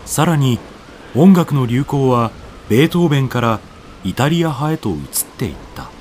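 A man's voice: medium pitch, sing-song, normal speed, darkish tone, a little hiss or hum. A man narrating a radio drama from a script in a solemn, declamatory, dramatic manner. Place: studio voice-over with music behind.

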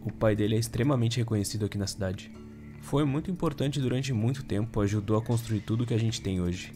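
A man's voice: fairly low pitch, quick pace, dark tone, faint music behind, natural voice. A Brazilian man acting in an audio drama as a dutiful, wary police soldier with a formal, cautious manner. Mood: guarded, informative.

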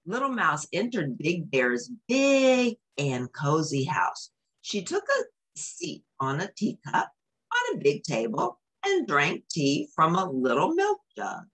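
Female storyteller for a children's picture book: husky, lowish voice, expressive read with friendly asides to young listeners.

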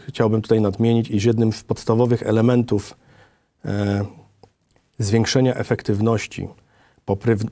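A middle-aged man giving a formal, methodical slide presentation in a measured way. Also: mid-range voice, warm tone, no room sound, studio quality. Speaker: design office director presenting.

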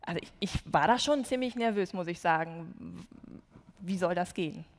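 A woman's voice: on the low side, normal speed. A woman on a university panel stage, speaking candidly and enthusiastically, with self-deprecating asides, about translating. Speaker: student translator. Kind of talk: panel conversation. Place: large university auditorium stage. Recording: long reverb in large hall.

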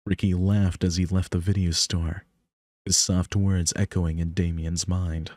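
A man's voice: low-pitched, little pitch movement, a little slow, darkish tone, no echo. A man reading scripted story narration for a visual novel. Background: background music.

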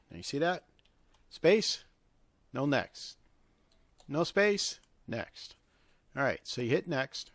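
A man hosting an informal software tutorial, talking step by step in an instructional way. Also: medium pitch, creaky, no room sound. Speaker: tutorial host.

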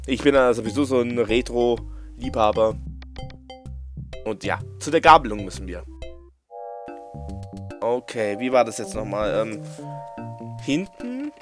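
A young man doing casual let's-play commentary, playful and quick to giggle, reading game lines aloud in silly voices. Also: medium pitch, very animated. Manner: giggly, playful, breaks into laughter. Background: faint game music.

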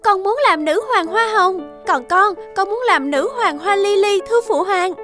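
A woman voicing an excited, girlish young heavenly princess in a cartoon fairy tale. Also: very high voice, unhurried, pitched above the performer's own voice. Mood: eager. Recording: music behind the voice.

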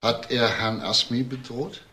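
An actor playing a probing, businesslike police inspector in a crime radio drama, asking halting, clipped questions. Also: male voice, medium pitch, bright, forceful tone, husky. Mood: pressing, halting.

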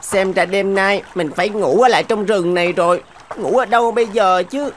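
A woman's voice: medium pitch, moderate pace, slight background noise, natural voice. A woman voicing a tiny, plucky but anxious young boy in a children's cartoon, musing aloud expressively. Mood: glum, worried.

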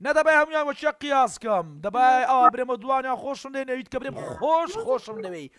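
Male livestream host, Kurdish accent, extremely quick casual chatter with repeated phrases, dry headset sound. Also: very high-pitched, lively intonation, fairly bright tone, smooth, no room sound. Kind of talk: casual chat with strangers on a livestream.

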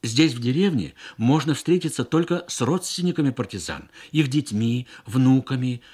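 A man voicing an earnest young woman interpreter in a radio story, explaining in a measured way. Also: mid-range voice, lively intonation, darkish tone, husky. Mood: earnest, brisker. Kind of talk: Soviet radio story reading.

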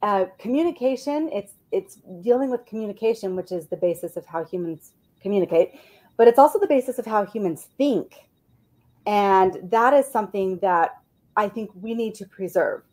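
A woman's voice: mid-range voice, animated, normal speed, darkish tone. A woman teacher speaking emphatically and articulately in a video-call panel discussion. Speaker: humanities and language teacher. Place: living room, on a group video call.

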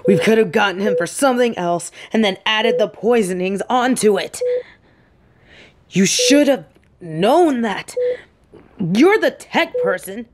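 Woman acting protective lady field agent in an audio roleplay, scolding, super emotional, slow and emphatic. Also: mid-range voice, expressive, natural voice. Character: suave female field agent, fiercely protective, angry and lovestruck. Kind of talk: intimate dramatic audio roleplay monologue.

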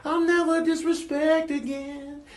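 An American man improvising comedic animal voice-overs with animated, exasperated, slangy delivery. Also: very high voice, a little slow. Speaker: comedy voice-over performer.

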